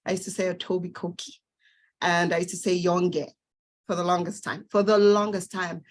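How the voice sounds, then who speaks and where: Soft-spoken woman leading a workshop. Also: on the low side, little pitch movement. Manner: measured, gentle, with frequent fillers. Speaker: workshop facilitator. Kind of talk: online workshop facilitation.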